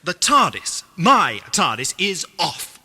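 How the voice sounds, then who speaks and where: Man playing a pompous, excitable ancient time-traveller, bright piercing voice. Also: sits high, slow, breathy edge, exaggerated voice. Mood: irritable. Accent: British. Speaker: actor in an audio drama. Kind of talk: amateur audio drama acting. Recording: 1980s amateur audio drama, music and noise underneath.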